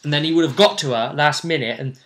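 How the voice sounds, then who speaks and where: A man's voice: on the high side, fast talker, a bit bright. A man acting as game master, casually recapping the story of a tabletop role-playing game.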